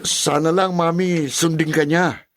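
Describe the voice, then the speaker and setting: High-pitched, conniving Filipino son. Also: male voice, fairly even intonation, unhurried, fairly bright tone, slight background noise. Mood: gloating. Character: gloating young adult son, conniving sidekick to mother. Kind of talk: Filipino radio drama acting.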